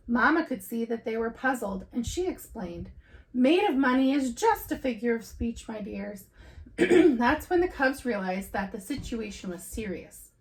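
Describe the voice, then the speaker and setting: A woman narrates a children's storybook in the style of a teacher reading aloud. Her voice sits fairly high. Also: low-quality recording.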